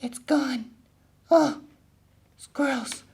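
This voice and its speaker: High-pitched, whiny, melodramatic puppet boy played by a woman. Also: drawn-out words, vocal fry, darkish tone, exaggerated voice. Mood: strained, faltering. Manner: stammering, exaggerated, dramatic. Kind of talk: children's puppet show acting.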